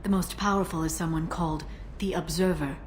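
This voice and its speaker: Measured actress as a determined female broker. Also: lowish voice, fairly even intonation, close to an everyday voice. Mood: focused. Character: composed, determined female alien information broker. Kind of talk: scripted video game dialogue. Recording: noisy ambient background.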